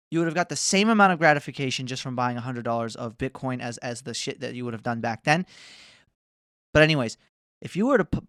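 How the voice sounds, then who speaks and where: Brief: man in his twenties, casual rambling vlog rant, heavy swearing, smooth clean tone, close and dry. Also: mid-range voice, a bit bright, dry recording. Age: 20s. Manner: profane, rambling, swears often; mocking, bragging, jumps between asides. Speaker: vlogger.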